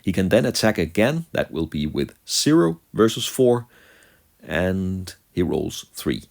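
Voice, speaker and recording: A very low male voice gives play-by-play narration of a miniature wargame. He moves slowly and deliberately through short phrases, with a pitch that swings widely from line to line. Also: sing-song, gravelly. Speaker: narrator of a tabletop wargame battle report.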